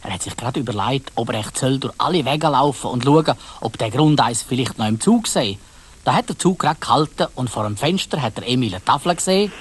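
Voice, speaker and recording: Male narrator for children's audio drama, Swiss German, husky mid-range voice, involving the listener. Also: medium pitch, lively intonation, fairly quick. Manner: addresses young listeners directly with questions.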